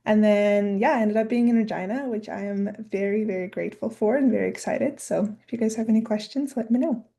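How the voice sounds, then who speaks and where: A woman's voice: mid-range voice, fairly quick, vocal fry, dark tone. A young woman from Ukraine, a medical student, introducing herself informally. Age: young adult. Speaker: first-year medical student.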